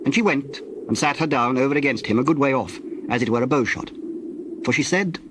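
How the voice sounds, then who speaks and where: A man narrating scripture from a script in a solemn, measured manner. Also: mid-range voice, background noise.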